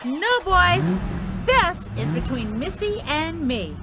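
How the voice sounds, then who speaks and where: A woman's voice: very high voice, huge pitch swings, slow, full character voice. An actress playing a spirited, determined young country woman with an American Southern accent and an emphatic way of talking. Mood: urgent, firm. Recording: loud car engine and outdoor noise.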